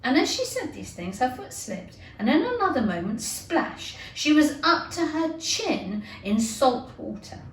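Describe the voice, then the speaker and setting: A woman reads a children's story in a deliberate, dramatic way. Her voice is fairly high and takes its time. It is recorded in an echoey room, away from the microphone. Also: sing-song. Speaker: teacher reading aloud to children. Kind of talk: expressive children's storybook narration. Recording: echoey room, distant mic, hiss.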